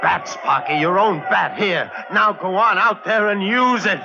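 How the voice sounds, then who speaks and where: An actor playing an excitable, blustery baseball team manager in a 1940s radio drama. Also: male voice, high-pitched, unhurried, dull audio. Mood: urgent. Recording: very noisy old radio broadcast.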